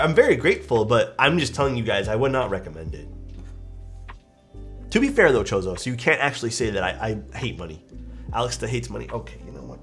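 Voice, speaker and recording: A young man with a stuffed-up, nasal voice, chatting casually on a live stream while he builds a keyboard and reads out chat. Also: medium pitch. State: stuffed-up nose.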